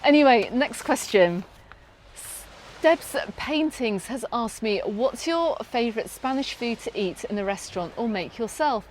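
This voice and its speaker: A woman's voice: fairly high pitch, expressive, measured pace, a little hiss or hum. A British woman hosting a travel vlog, answering viewer questions in an upbeat, chatty way from a sunny beach, with frequent ums and restarts. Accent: British English. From Britain. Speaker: travel vlogger. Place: outdoors on a sunny beach, in a lifeguard tower.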